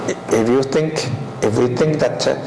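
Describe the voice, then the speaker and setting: An older man gives a university lecture in a deliberate way, often asking the class rhetorical questions. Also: mid-range voice, vocal fry, background noise. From Taiwan.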